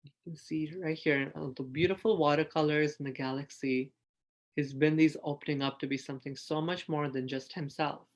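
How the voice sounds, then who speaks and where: A man's voice: fairly high pitch, steady tone, a little fast. A man who is a drag artist, sharing stories in an enthusiastic, informal way. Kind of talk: picture-book reading and casual sharing.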